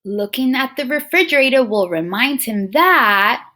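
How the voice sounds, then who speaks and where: A woman teacher reading a picture book aloud to young children, expressively, pausing to muse and put questions straight to her listeners. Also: high voice. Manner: expressive, addresses children directly with frequent questions and musing hums.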